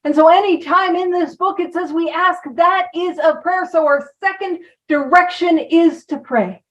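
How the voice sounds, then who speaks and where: A woman's voice: very high voice, normal speed, recorded from a distance, dull audio. A woman giving an informal talk about recovery, chatty and self-deprecating, who drifts from point to point. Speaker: recovery program speaker.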